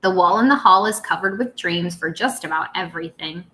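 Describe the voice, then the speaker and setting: A woman teacher reading aloud to young children in an expressive, playful, dramatic way. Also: on the low side, a little fast, echoey room.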